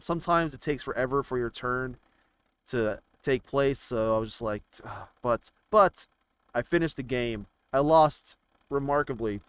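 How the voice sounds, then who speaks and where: A man giving casual, rambling commentary on video games, haltingly thinking out loud as he browses. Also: mid-range voice, clean tone, no room sound. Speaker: gaming video creator.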